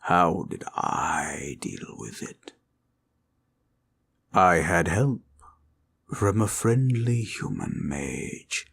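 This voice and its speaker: An ancient red dragon, with a low, husky voice that swells and dips. He speaks very slowly in formal, old-fashioned phrases, fatherly and tender, as in a fantasy audio roleplay. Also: expressive, a bit bright, exaggerated voice. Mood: grave, reminiscing. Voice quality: deep, gravelly, husky voice.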